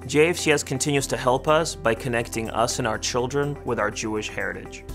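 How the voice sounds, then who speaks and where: Calm young man reading a voice-over. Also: medium pitch, brisk, soft background music. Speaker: narrator. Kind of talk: scripted voice-over narration.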